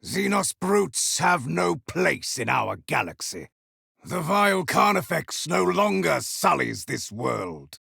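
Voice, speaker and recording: Gravelly, roaring space marine warrior. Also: male voice, fairly high pitch, big pitch swings, takes it slowly, no room sound, clean studio sound, exaggerated voice. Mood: grim satisfaction. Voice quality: gravelly, rough shout. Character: battle-hungry male space marine warrior, brash and zealous. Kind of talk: video game combat barks.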